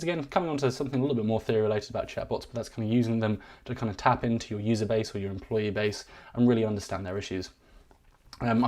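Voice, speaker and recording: A man giving a live-streamed software walkthrough, rambling and self-deprecating, his voice wearing thin. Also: lowish voice. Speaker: software demo presenter.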